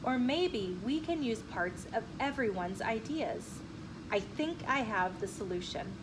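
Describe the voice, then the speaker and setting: A storybook nobleman acted out by a woman reader, commanding and helpful, declaims his orders. The pitch is fairly high for the part. Background noise is clearly present. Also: expressive, measured pace. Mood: encouraging. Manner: calling out, declamatory. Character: commanding, helpful male nobleman organizing a crowd. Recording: clearly noisy room with ambient sound.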